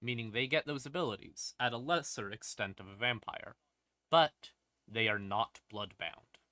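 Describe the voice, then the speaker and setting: A man hosting a livestream, explaining lore casually and wryly, in halting phrases broken by pauses and emphatic stresses. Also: mid-range voice, pushed and bright, dry recording. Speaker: livestream host.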